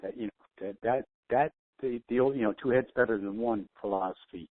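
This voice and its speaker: A man's voice: mid-range voice, a little fast. A man co-hosting a conference call, talking in an earnest, stumbling way and repeating himself. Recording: tinny phone line.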